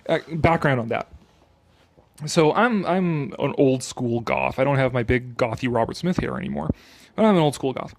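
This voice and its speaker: Higher-voiced man reading aloud with commentary. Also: no echo. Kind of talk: dramatic reading of an article with off-the-cuff commentary.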